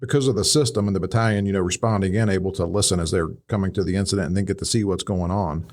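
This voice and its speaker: Man, American, low pitch, casual analytical commentary, explanatory, conversational podcast host. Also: racing, darkish tone. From Midwestern United States. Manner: explanatory, frequent "you know". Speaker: podcast host and fire command instructor.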